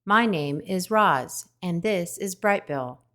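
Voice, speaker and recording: A woman voicing a gentle, polite female robot mother who speaks in a measured, precise way. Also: on the low side, expressive. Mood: calm.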